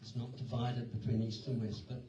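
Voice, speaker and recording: An older man, a city councillor, speaking in a measured, earnest way at a forum. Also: mid-range voice, little pitch movement. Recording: echoey hall, distant muffled mic, hiss.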